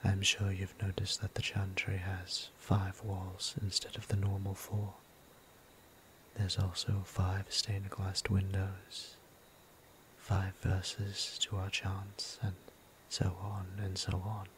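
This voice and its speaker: Man acting a slow, whispering gentle vampire priest. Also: low-pitched, completely flat delivery. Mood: reverent, explaining. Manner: formal, hushed, unhurried, tender, with deliberate pauses. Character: gentle, courteous male vampire priest, devoted caretaker. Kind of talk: intimate ASMR roleplay, mostly whispered. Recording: clear ambient background noise.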